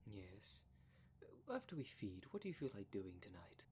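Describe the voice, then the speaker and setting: A man's voice: lowish voice, sing-song, moderate pace, a little mellow, a little hiss or hum. A man playing a prim, defensive dream demon in a formal, fussy, easily exasperated manner for an ASMR roleplay drama. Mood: conversational. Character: prim, defensive dream demon, one half of split creature.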